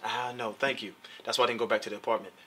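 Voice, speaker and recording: A man's voice: lowish voice, flat delivery, rapid-fire, a little hiss or hum. A man hosting a casual talk show, teasing his guest and asking frank questions. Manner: playful, teasing, jumps to loud impressions of friends.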